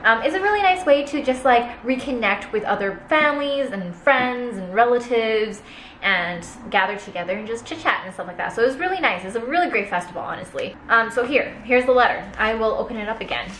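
A young woman chatting casually in a food vlog, unboxing gifts on her sofa and reading aloud haltingly while laughing at herself. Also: on the high side, lively intonation, a bit bright, roomy sound, a little hiss or hum, low-quality recording. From Canada. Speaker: food vlogger. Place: living room, seated on a sofa.

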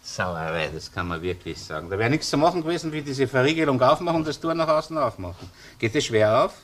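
An actor playing a sceptical, probing police investigator in a crime radio play, speaking colloquial Viennese German with an insistent, questioning manner. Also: male voice, mid-range voice, lively intonation, quick pace, natural voice. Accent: Viennese Austrian. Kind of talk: German-language crime radio drama acting. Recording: noisy old radio play recording.

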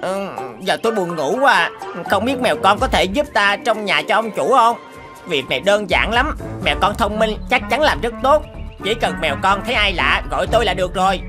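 A sly cartoon farm dog, played by a woman, sweet-talks in a middling pitch. The is coaxing and flattering, with music clearly audible underneath. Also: brisk, close to an everyday voice. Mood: coaxing, sleepy. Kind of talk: children's cartoon voice acting.

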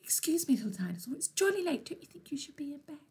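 A woman voicing a polite magical fairy who gently scolds in a light, breathy children's-story voice. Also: sits high, big pitch swings, fast, pitched above the performer's own voice. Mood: polite scolding.

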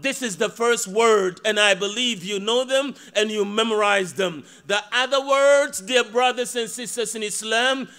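High bright-voiced man preaching a sermon. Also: smooth. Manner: emphatic preaching with rhetorical questions and rapid Arabic recitation. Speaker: khatib giving Friday sermon. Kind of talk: Friday sermon. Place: mosque pulpit indoors. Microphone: podium microphones.

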